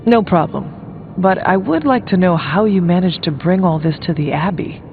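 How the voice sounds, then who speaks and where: A calm, earnest male hero with a high voice for a man. He speaks formally and literally, as a serious leader in game dialogue. The recording is narrow and muffled, with prominent music underneath. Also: moderate pace, vocal fry. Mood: earnest, questioning. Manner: formal, literal, avoids contractions. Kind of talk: video game dialogue acting.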